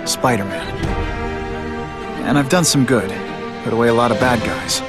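A man's voice: medium pitch, normal speed, natural voice. Man playing a wry young American superhero, music behind. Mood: somber, determined. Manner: wry, quippy. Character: young wisecracking masked superhero, grieving and determined. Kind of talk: video game voice acting, narration and banter.

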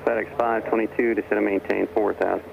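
A man's voice: on the low side, level tone, fast, creaky. A man working as an air traffic controller, giving clipped procedural instructions over aviation radio. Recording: narrowband aviation radio with engine noise. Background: airplane engine noise.

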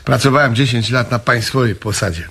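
An actor playing a dry, matter-of-fact middle-aged businessman in a comic radio play, with a husky voice. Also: mid-range voice, brisk, a bit bright. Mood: impatient. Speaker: owner of a small wholesale firm.

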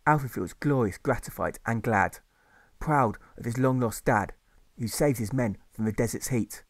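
A man's voice: mid-range voice, unhurried, dry recording. A man narrating his own rhyming novel as an audiobook in a rhythmic, sing-song reading.

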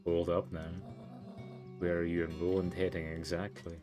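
A man's voice: low voice, little pitch movement, moderate pace, over music, natural voice. A man voicing an elderly deer grandmother, suspicious and dryly stern, in a storybook game.

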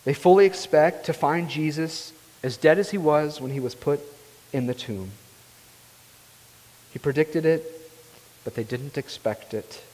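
A man's voice: a little high, unhurried, long pauses, darkish tone. A young man preaching a sermon as pastor, earnest and emphatic, often asking his listeners "right?". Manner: earnest, rising emphatically on key phrases, often asks "right?". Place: church sanctuary, large room. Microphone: far camera, speaking at a pulpit. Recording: long reverb of a church hall.

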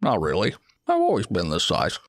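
Man playing a hesitant, gravelly closet monster. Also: low voice, huge pitch swings, moderate pace, no echo, studio quality, heavily acted. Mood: mild, pondering. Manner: hesitant, mild, conversational. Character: male closet monster, hesitant, polite, tentacled child-eater. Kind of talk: acted audio story dialogue.

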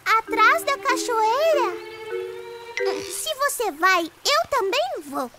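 Small cartoon owl girl, Brazilian accent, extremely high, lively intonation, childlike, eager, music behind. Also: takes it slowly, heavily acted. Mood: queasy, hesitant. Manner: childlike, expressive.

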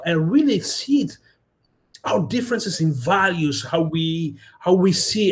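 Ethiopian man, young, rapid talker, higher-pitched voice, video call audio, animated. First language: Amharic. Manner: animated, gestures with hands, mixes in Amharic and Italian. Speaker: guest. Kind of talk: casual interview over video call. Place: small indoor room. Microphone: laptop webcam video call.

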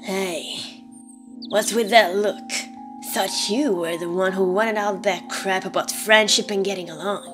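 A woman's voice: medium pitch, fairly even intonation, measured pace, lightly acted. A woman voicing a brash, hot-tempered teenage monster girl in a dramatic comic dub, blunt and confrontational but caring underneath. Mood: angry. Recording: background music under the voice. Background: music.

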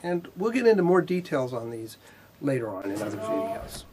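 A man in his fifties giving a technical lecture in a measured, explanatory way with pauses mid-sentence, his voice slightly husky. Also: fairly high pitch, sing-song, noisy background. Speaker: instructor, R&D manager. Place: indoor video studio with whiteboard.

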